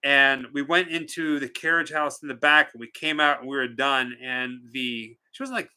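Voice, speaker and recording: Bright, forceful joking man on a livestream. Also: medium pitch, fairly even intonation, normal speed, smooth. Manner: joking, playfully teasing. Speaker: live stream co-host. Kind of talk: casual chat, reading out viewers' comments.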